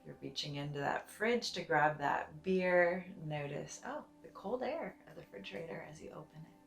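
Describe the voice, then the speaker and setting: Woman doing guided meditation, low gravelly-edged voice, soft n soothing, bit echoey, music under it. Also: lively intonation. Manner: soothing, gentle, deliberate with long pauses.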